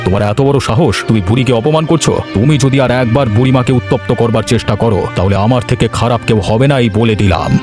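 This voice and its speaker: A man's voice: mid-range voice, quick pace, few pauses, music in the background, natural voice. A man voicing an earnest, emphatic village uncle in a cartoon, protective and grateful. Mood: indignant. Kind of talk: Bengali cartoon dialogue.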